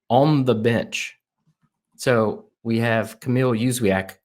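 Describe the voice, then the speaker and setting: A man hosting a casual soccer podcast, eager and talking in quick bursts as he asks his guest questions. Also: lowish voice. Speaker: podcast host.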